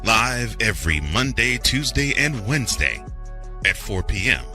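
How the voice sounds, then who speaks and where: A man's voice: on the low side, sing-song, unhurried, loud music behind the voice. A man reading a show promo as an over-the-top, theatrical announcer.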